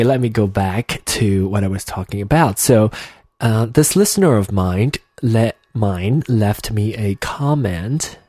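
A man's voice: lowish voice, a little mellow, no room sound. A man from Malaysia in his thirties, with an accent that mixes Malaysian and American English, hosting a solo podcast in an earnest, hesitant way with many restarts and fillers. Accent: Malaysian English mixed with American. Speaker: podcast host. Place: home room with a heater running.

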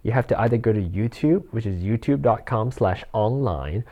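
Rambling forty-year-old man, lowish voice. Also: animated, normal speed, muffled recording. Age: 40s. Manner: rambling, chuckles often, many fillers and restarts. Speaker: podcast host. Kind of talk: casual solo podcast chat. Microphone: handheld or desk mic with rustle.

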